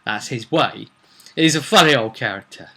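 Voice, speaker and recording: A man's voice: medium pitch, lively intonation, normal speed, creaky, pushed and bright. A man chatting casually as a game master, recounting a tabletop roleplay session in a rambling, storytelling way. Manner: chatty, slips into acted quotes of characters.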